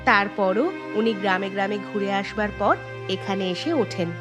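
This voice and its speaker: A woman voicing a caring but mildly strict village schoolteacher in a cartoon, questioning and gently chiding. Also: mid-range voice, flat delivery, normal speed, music in the background, lightly acted. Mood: concerned, chiding. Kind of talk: Bengali cartoon dubbing.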